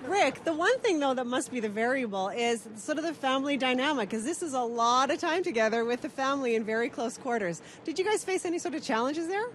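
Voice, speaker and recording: Woman, high voice, morning TV host, upbeat chat, faint street noise behind. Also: lively intonation, fast talker, hardly any pauses. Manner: upbeat, chatty. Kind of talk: breakfast TV interview.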